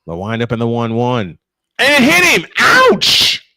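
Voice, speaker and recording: A man's voice: mid-range voice, wildly swooping pitch, measured pace, pushed and bright, no echo. A man calling baseball play-by-play live, mixing it with casual chat in a folksy, exclamatory way.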